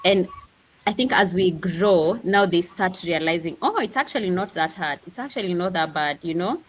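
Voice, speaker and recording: Earnest low-voiced woman teacher. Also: moderate pace, low-quality recording. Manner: earnest, storytelling with quoted speech and repeats. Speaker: Swahili teacher, guest. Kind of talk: interview answers.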